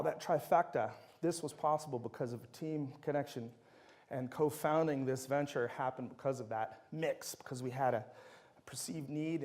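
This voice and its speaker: A man's voice: a little high, darkish tone. A middle-aged man, a native Dutch speaker, giving an enthusiastic university lecture full of anecdotes. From Netherlands.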